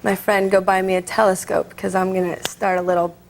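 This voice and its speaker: A young woman in her twenties, a guest on a talk show, telling a story in a relaxed, giggly, playful way, recorded in a television studio. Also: mid-range voice, brisk, creaky, low-quality recording. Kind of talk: casual anecdote on a talk show. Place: television studio with live audience. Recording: broadcast with faint audience noise.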